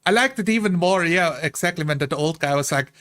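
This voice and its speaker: Higher-voiced man joking casually. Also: animated, fairly quick, a bit bright. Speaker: tabletop roleplay player. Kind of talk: casual chat, acting out quips.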